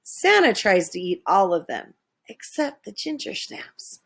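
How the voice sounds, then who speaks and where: A woman reads a children's storybook aloud at a leisurely pace. Her voice rises and falls in a lively, dramatic way, like someone performing each line for young listeners. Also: medium pitch, measured pace, creaky, husky. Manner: animated, dramatic, with hushed asides. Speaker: storybook reader.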